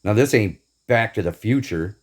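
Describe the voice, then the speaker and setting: Brief: low-pitched American man from the Midwest, angry and swearing, vlog rant. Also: expressive, moderate pace. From Northeast Indiana, USA. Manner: bitter, profane, emphatic complaining. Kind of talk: angry personal rant to camera.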